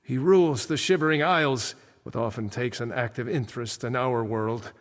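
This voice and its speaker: An actor playing a solemn ancient archmage back from death, speaking gravely and formally in fantasy video game dialogue. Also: male voice, mid-range voice, moderate pace, close to an everyday voice. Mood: grave, warning. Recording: echoing game hall with long reverb.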